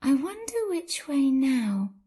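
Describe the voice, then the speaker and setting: A woman's voice: sits high, sing-song, takes it slowly, dark tone, no room sound, clean studio sound, full character voice. A woman voicing a polite, kind young girl in a children's fairy tale game. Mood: sweet, polite. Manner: polite, sweet, eager. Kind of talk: children's storybook game acting.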